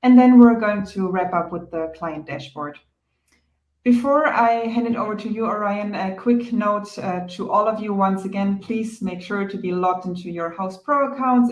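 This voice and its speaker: A woman with a German accent opens and steers an online training session, friendly and organized. Her middle-pitched voice carries some room echo over the video call, and small fillers dot her speech. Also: moderate pace. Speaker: product marketing host. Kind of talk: webinar presenting. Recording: echoey room.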